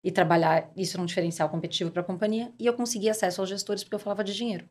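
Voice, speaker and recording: A woman's voice: mid-range voice, very fast. A Brazilian woman in her forties telling career stories conversationally as an interview guest, repeating words for emphasis and acting out quoted remarks. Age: 40s. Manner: animated storyteller, emphatic repetition, quotes dialogue. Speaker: podcast guest, corporate executive.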